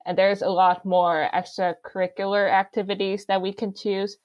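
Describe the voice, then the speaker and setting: A woman's voice: mid-range voice, level tone, normal speed, highs cut off. A young woman from Korea, a student guest explaining things informally. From South Korea. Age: young adult.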